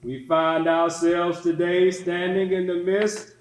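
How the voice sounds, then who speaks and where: A man's voice: high-pitched, flat delivery, takes it slowly. A man delivering an oratorical sermon, emphatic and built on rhetorical repetition, as a preacher to a congregation. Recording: echoey hall with responding congregation. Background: congregation calling out responses.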